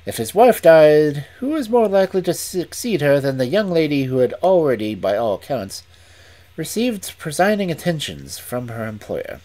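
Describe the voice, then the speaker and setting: Incisive Victorian detective, read aloud, measured, deliberate, lively pitch. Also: close to an everyday voice. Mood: reasoning. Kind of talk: audiobook reading.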